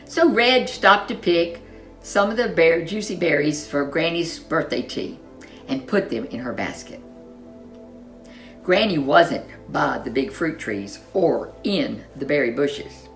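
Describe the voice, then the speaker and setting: A woman reads a children's story in a low voice with very lively intonation. She is expressive and leans into dramatic, drawn-out words. Soft music plays underneath.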